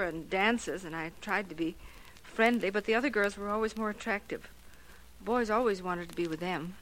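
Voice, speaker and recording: A woman's voice: mid-range voice, a bit bright, close to an everyday voice, low-quality recording. An actress playing a plain, resigned woman of thirty-four in a 1940s radio drama, confiding in hesitant, stammering phrases. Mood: wistful.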